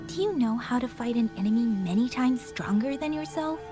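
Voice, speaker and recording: A woman's voice: fairly high pitch, animated, fairly quick, over music, lightly acted. An actress playing a composed, resolute young female soldier in a game, earnest, polite and firm.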